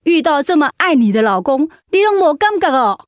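Radio drama confidante: high voice, frank, scolding but caring. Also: female voice, big pitch swings, brisk, no echo, clean studio sound. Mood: chiding, affectionate. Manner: chiding, persuasive.

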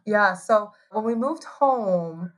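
A woman's voice: medium pitch, expressive, slow pace. A woman in her thirties from Taiwan, telling her story as an interview guest in a chatty way, laughing often and filling with "like". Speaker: guest, hot sauce co-founder.

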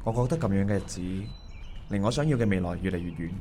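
A man's voice: lowish voice, expressive, fast, warm tone, a little hiss or hum, natural voice. A man acting as a regretful, hesitant married man in a Cantonese radio drama, talking conversationally. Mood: wistful. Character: regretful, hesitant married man meeting his first love. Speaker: voice actor playing the male lead. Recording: music under the dialogue.

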